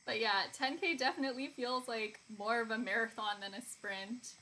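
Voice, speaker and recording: A woman's voice: a little high, normal speed, pushed and bright, low-quality recording. A woman live streaming art, chatting casually and informally with viewers and reading their comments aloud. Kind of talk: casual chat with stream viewers while drawing. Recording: clear background noise.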